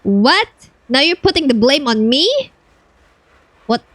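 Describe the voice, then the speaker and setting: A woman's voice: high-pitched, sing-song, slow pace, a bit bright, no room sound. A woman giving casual, blunt and slangy reaction commentary as she plays a video game. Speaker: streamer playing a video game.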